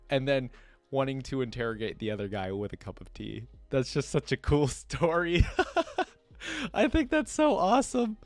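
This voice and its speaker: A man's voice: medium pitch, dry recording. A man hosting a reaction video, giving informal, enthusiastic fan commentary in a casual chatty style. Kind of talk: casual fan commentary alternating with story read-aloud.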